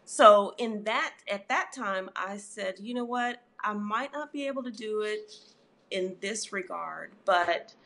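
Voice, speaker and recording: A woman's voice: medium pitch, fairly bright tone. A middle-aged woman therapist and author, guest in an interview, talking in a reflective, conversational way with frequent "you know" fillers.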